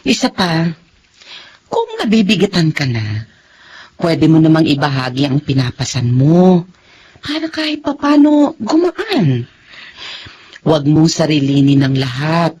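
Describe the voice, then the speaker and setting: An actress with a Filipino accent playing a caring, firm and encouraging college dean in an audio drama. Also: female voice, low voice, big pitch swings, a little slow, pauses often, darkish tone, a little hiss or hum. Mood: concerned. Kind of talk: Filipino radio-drama style acting.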